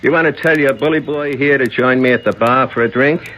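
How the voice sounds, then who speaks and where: An actor playing a brassy, sardonic American nightclub owner with a mocking, slangy swagger in a 1970s radio drama. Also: male voice, medium pitch, fairly quick, highs cut off, a little hiss or hum, lightly acted. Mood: breezy, sly.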